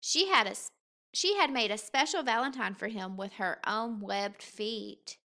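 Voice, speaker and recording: A woman reads a picture book for young children. Her voice is fairly high and bright, and she takes her time, shaping each phrase expressively and deliberately. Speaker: teacher reading a picture book aloud. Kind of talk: expressive children's read-aloud storytelling.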